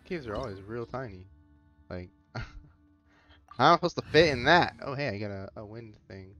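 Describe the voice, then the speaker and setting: A playful gamer reacts off the cuff in a mid-pitched voice with very lively intonation. The commentary is casual and slangy, with game music behind it. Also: moderate pace. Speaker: video game streamer playing through a quest.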